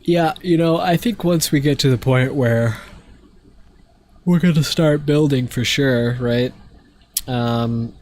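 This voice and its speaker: A man's voice: medium pitch, slow, pauses often. A young man chatting casually to viewers while playing a game, a bit stuffy from allergies, rambling with lots of fillers. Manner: relaxed, rambling, many fillers. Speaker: streamer. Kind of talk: casual live-stream chat while gaming.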